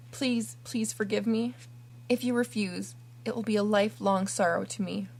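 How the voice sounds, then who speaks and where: A woman's voice: mid-range voice, close to an everyday voice. A woman voicing an imaginative, dramatic orphan girl who talks earnestly and theatrically.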